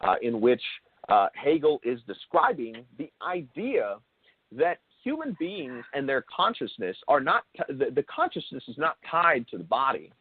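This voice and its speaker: A man hosting an interview in an unscripted explanatory style, halting and rephrasing himself, with emphasis on key words. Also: mid-range voice, sing-song, husky, no echo. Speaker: show host interviewing a guest. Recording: thin phone-like call audio.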